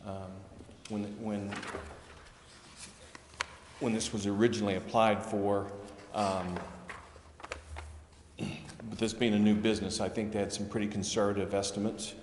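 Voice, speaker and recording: A man's voice: lowish voice, level tone, unhurried, long pauses, a little mellow. A man on city staff explaining grant details to a council, hesitant with many ums and restarts. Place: council chambers. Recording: echoey chamber, faint hum.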